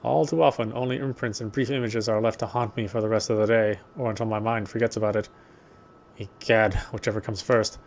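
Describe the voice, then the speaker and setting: A man reading a horror game story aloud, with expressive, lingering narration. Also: fairly low pitch, brisk, a little hiss or hum. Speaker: let's player reading a visual novel aloud.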